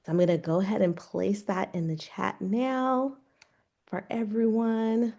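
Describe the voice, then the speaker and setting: A woman hosts a virtual fair over a video call, reading a scripted welcome in a friendly announcer tone. Her voice is of medium pitch. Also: fairly even intonation, measured pace, creaky, mellow. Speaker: event host. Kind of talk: scripted event introduction.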